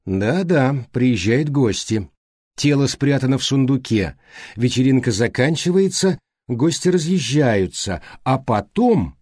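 A man voicing an animated, insistent older detective in an audiobook, reasoning aloud with emphatic questions. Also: medium pitch, measured pace, breathy edge, no room sound, studio quality. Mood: probing. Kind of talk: audiobook character dialogue.

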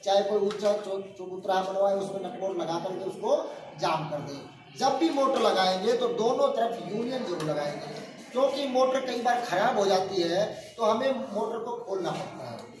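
A man's voice: high-pitched, far from the mic. A man in his forties teaching a hands-on workshop lesson, explaining step by step and often asking the students if they understood. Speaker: workshop instructor. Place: crowded indoor workshop, students standing around. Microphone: far phone camera. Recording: echoey room, voice from afar, crowd noise.

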